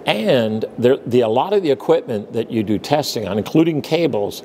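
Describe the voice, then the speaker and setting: A man's voice: medium pitch, animated, moderate pace, a little mellow. A man hosting interviews at a conference, friendly and encouraging, nudging his guest along with frequent short affirmations. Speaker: interview host, managing editor. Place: busy conference venue. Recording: noisy conference floor.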